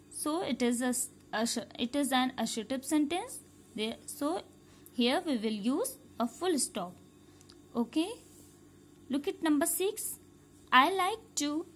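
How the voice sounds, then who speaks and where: Slow, lilting Indian English teacher. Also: female voice, high-pitched, slight background noise. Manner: slow, repetitive, patient explaining, checking understanding. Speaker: schoolteacher. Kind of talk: recorded grammar lesson for young pupils. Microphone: phone camera held over a workbook.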